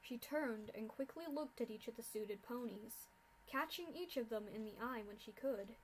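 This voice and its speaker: A woman narrating a fan-written story aloud with expressive delivery. Her voice sits high and carries the story steadily. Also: normal speed, slight background noise, rough recording. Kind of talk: fanfic reading.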